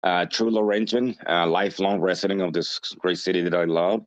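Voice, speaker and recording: Deep-voiced man in his fifties. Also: steady tone, a little fast, no echo, highs cut off. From Dominican Republic, raised in Massachusetts from age eight. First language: Spanish. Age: 50s. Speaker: interview guest. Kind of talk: conversational interview answers, personal reminiscence.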